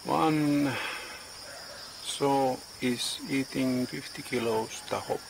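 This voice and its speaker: A man in his forties vlogging casually outdoors on a farm, speaking in halting English and searching for words, recorded on a handheld phone. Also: mid-range voice, fairly even intonation, vocal fry, background noise. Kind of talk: casual vlog narration. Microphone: phone camera at arm's length. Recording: outdoor ambience with insects and animals.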